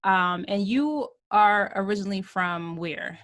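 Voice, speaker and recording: A woman's voice: mid-range voice, a bit bright. Chatty upbeat woman podcast host. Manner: chatty and upbeat, quick to laugh. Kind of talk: casual interview chat.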